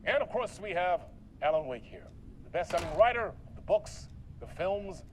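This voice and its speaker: An actor playing a slick, effusive late-night host in a video game, with theatrical, booming showmanship. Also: male voice, animated, moderate pace. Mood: exuberant. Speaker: talk show host. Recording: noisy studio with cheering crowd.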